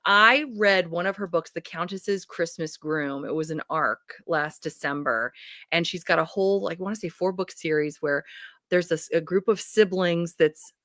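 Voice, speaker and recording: A woman co-hosting a casual book readalong, speaking in a gushing, effusive way and quick to laugh. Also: fairly low pitch, fairly bright tone, no room sound, clean studio sound.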